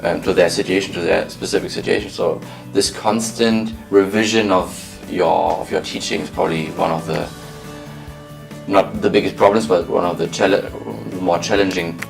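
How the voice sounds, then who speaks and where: A man's voice: deep voice, fairly even intonation, normal speed, vocal fry, far from the mic, over music. A man speaking English, an English teacher in a recruitment interview who talks thoughtfully and restarts his phrases. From Germany.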